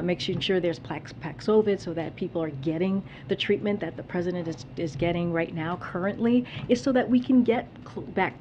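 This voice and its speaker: A woman press secretary answering reporters' questions at a podium, measured and firm, often restarting her phrases. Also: on the low side, lo-fi sound, slight background noise. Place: briefing room at a podium. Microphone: podium microphone.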